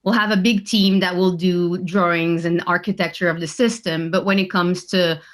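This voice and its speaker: A woman's voice: fairly low pitch, steady tone. Hesitant woman on a video call. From French Canada. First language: French. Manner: hesitant, many "uh" and "um" pauses. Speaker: guest. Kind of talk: casual interview answers.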